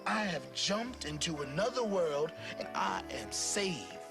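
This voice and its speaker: A man narrating a storybook aloud in an expressive, dramatic way with varied phrasing. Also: sits high, a little slow. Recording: clear music playing behind the voice.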